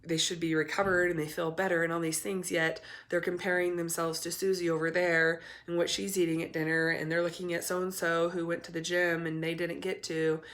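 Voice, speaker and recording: A young adult woman, hoarse and raspy from a cold, talking candidly to camera as a recovery coach, with many ums and restarts. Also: fairly low pitch, level tone, brisk, hardly any pauses, fairly bright tone. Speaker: eating disorder recovery coach. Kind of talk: casual talk to camera.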